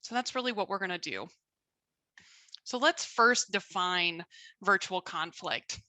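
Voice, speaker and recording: A woman's voice: medium pitch, animated, measured pace, pushed and bright, no room sound. A woman from Colorado giving an upbeat, chatty talk as a guest speaker on a video call. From Colorado, USA. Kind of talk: virtual presentation.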